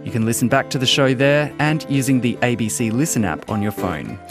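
A man presenting a radio program, closing the segment in smooth announcer delivery. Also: medium pitch, a little fast. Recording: guitar music under voice.

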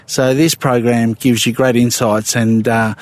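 A man with a medium-pitched voice answers formally as an organisation's manager. He is measured and sets out his points methodically. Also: flat delivery. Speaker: community projects manager, interviewee.

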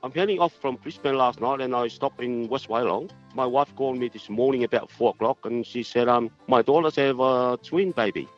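A man calls in to a radio show and speaks haltingly, often repeating his words. His voice sits in the middle of the usual male range. The line sounds muffled, with faint music playing underneath. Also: medium pitch. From Samoa. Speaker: caller, truck driver. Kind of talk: phone-in call.

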